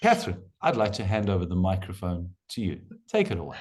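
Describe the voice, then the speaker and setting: A man's voice: low voice, big pitch swings, fast talker, darkish tone. A man hosting an online coaching workshop, lecturing emphatically and repeating his key phrases.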